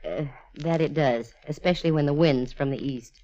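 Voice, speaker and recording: A woman's voice: sits low, moderate pace, dark tone, close to an everyday voice. An actress playing a wry, confident female private eye with an American accent, breezy and teasing in 1940s radio style. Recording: muffled vintage radio recording, hiss and clicks.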